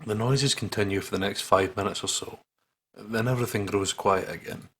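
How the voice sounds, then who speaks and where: A man's voice: lowish voice, steady tone, moderate pace, a bit bright, slightly rough. A man doing a playful let's-play, reading aloud and joking, with a sweary, silly manner. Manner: jokey, sweary, breaks into silly riffs. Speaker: gaming channel co-host. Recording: music playing behind the voice. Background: game music.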